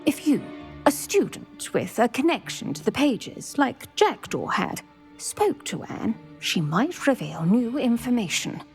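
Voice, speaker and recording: An actor voicing an older retired Auror woman who speaks briskly and formally in scripted game dialogue. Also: fairly high pitch, sing-song, darkish tone, faint music behind. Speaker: retired Auror guiding a student.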